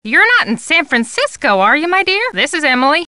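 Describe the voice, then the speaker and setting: Gushing, high-pitched chatterbox photojournalist. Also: female voice, very animated, brisk, bright, forceful tone, no room sound, studio quality, exaggerated voice. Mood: bright, friendly. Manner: gabby, gushing, rambling. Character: chatty, warm, scatterbrained woman photojournalist, easily carried away. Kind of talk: video game character dialogue.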